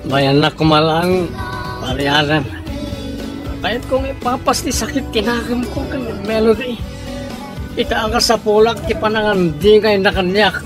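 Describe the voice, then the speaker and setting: A man's voice: high voice, measured pace, over music. An actor playing a heartbroken middle-aged father in an Ilocano radio drama, speaking emotionally and pleadingly with an Ilocano accent. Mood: anguished.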